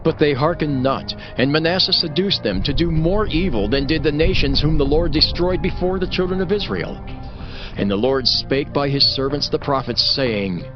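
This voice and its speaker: A man's voice: fairly high pitch, lively intonation, moderate pace. A man narrating scripture from a script in a solemn, dramatic storytelling style. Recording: dull sound under loud music. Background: music.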